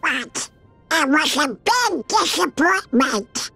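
A man voicing a hot-tempered cartoon duck in a video game, with a garbled quacking rasp. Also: extremely high pitch, sing-song, takes it slowly, pushed and bright, faint music behind, full character voice. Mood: excited complaining. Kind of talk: animated video game acting.

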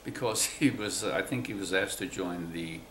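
A man in his nineties, born in Cuba, slowly recalling his family's past in an oral history interview, pausing often. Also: sits low, a bit bright, roomy sound, a little hiss or hum. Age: 90s. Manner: slow, halting recollection with many pauses. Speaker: interviewee, WWII navy veteran. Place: museum room, indoors.

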